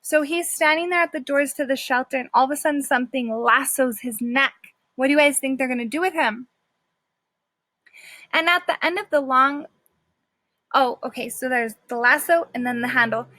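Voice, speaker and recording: A woman's voice: sits high, normal speed, bright, forceful tone, no room sound. A woman teacher reading a children's story aloud expressively, with simple explanatory asides to her young listeners.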